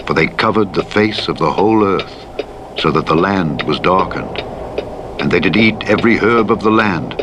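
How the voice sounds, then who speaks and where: Low-pitched man solemnly reading scripture. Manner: measured, formal delivery. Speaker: Bible narrator. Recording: steady vehicle noise over playback. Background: truck simulator engine and road noise.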